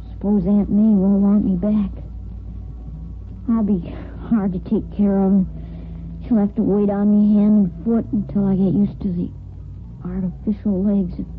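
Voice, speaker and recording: A woman playing a brave, wistful twelve-year-old orphan boy in a 1950s radio soap, earnest and halting. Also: medium pitch, natural voice. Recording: muffled old radio broadcast, faint music.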